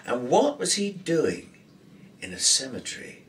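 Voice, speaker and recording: A man reading a novel aloud as an actor, in expressive storybook narration. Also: medium pitch, unhurried, far from the mic.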